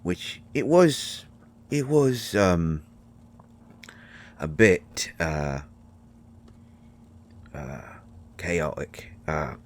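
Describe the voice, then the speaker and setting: A man playing a shy, kindly mushroom fairy in a roleplay audio drama, speaking haltingly with folksy, ungrammatical phrasing. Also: deep voice, very animated, full character voice. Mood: hesitant, cautionary. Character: shy, kindly male mushroom fairy living in magic library. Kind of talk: scripted roleplay audio drama addressed to the listener.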